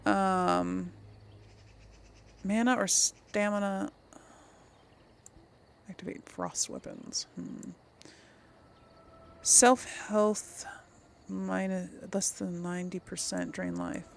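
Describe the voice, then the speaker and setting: A woman's voice: mid-range voice, flat delivery, very slow, vocal fry, pauses often, slight background noise. A woman giving casual game commentary, musing aloud as she plays and role-plays dialogue. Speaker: gamer, series host.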